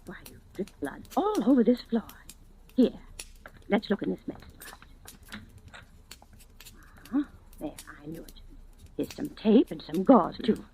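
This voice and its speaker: A woman's voice: mid-range voice, sing-song, moderate pace, long pauses, a little mellow, a little hiss or hum, poor audio. An actress playing a nosy but well-meaning old landlady in an old-time radio drama, scolding and insistent in a motherly way. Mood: bossy, fussing. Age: older.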